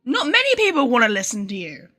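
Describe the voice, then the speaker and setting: A woman game streamer giving casual, joking live commentary, sarcastic and quick to swear. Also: on the high side, wildly swooping pitch, pushed and bright, faint music behind.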